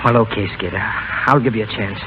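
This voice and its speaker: An actor playing a brash but soft-hearted burlesque show boss, slangy and harried, in 1930s radio style. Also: male voice, lowish voice, quick pace, highs cut off, natural voice. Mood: concerned. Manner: slangy, exasperated, urgent. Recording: noisy vintage 1930s radio broadcast.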